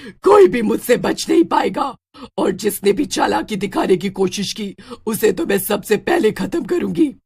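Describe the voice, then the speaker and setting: A woman voicing a menacing, gloating witch in a story, loud and threatening, with drawn-out taunts. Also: mid-range voice, very animated, gravelly, slightly rough, full character voice. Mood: gloating menace. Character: menacing, gloating witch who commands deadly rain.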